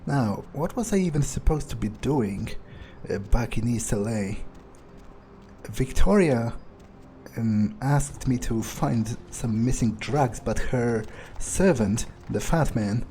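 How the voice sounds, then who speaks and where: A man playing a jaded, impatient vampire in a video game, thinking aloud in a halting, profane, sardonic monologue. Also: mid-range voice, expressive, mellow, slight background noise. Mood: irritated, musing. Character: jaded, foul-mouthed male vampire, contemptuous and impatient.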